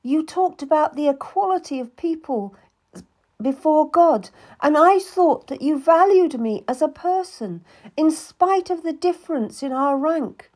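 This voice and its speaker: A woman's voice: lowish voice, lively intonation, normal speed, hardly any pauses, dark tone, breathy edge. A woman voicing a young lady-in-waiting who speaks in a raised, pained and reproachful voice. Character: young female lady-in-waiting, hurt and angry.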